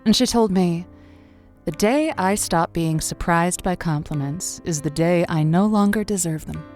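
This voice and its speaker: Actress as playful late-night radio host over music. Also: female voice, fairly low pitch, measured pace, darkish tone, close to an everyday voice. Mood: intimate, musing. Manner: theatrical, playful, musing delivery. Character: warm, evasive female late-night radio love advice host. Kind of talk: audio drama late-night radio monologue.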